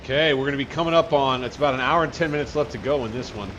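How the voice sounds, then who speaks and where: A man at an ordinary pitch chats loosely through a multiplayer game, joking and trailing off into quiet mutters. Steady game noise sits behind his voice throughout. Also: very fast, muffled recording. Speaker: channel host. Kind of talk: casual multiplayer gameplay chat. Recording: noisy game audio behind.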